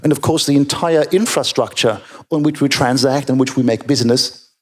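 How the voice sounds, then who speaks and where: A man moderating a conference panel, introducing guests and posing questions in a measured way with occasional fillers. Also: medium pitch, darkish tone.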